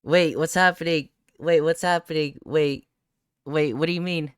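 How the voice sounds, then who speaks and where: A game streamer with a mid-pitched voice reads a visual novel aloud. The narration and dialogue give way to startled personal reactions. The recording is very dry, with almost no room sound. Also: steady tone, moderate pace, smooth, dry recording. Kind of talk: gaming playthrough, reading visual novel text aloud.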